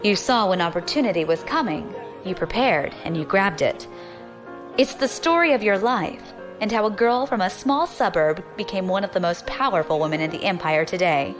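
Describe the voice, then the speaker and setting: A woman's voice: medium pitch, expressive, normal speed, over music, close to an everyday voice. A woman playing a bold, ambitious, defiant young opportunist in an audio drama, assertive and challenging. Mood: cool, determined.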